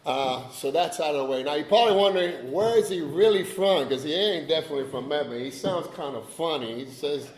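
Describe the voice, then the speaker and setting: A man's voice: fairly high pitch, lively intonation, a little fast, hardly any pauses, reverberant, rough recording. A man from Cuba, giving a personal recovery talk, chatty and joking with the audience in asides. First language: Spanish. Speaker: AA speaker.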